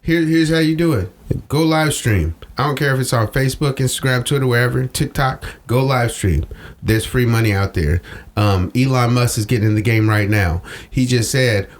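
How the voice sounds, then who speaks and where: A man's voice: medium pitch, moderate pace. A man talking casually as an interview guest, slangy and emphatic, repeating phrases for effect. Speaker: interview guest, social media strategist. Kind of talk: animated casual talk.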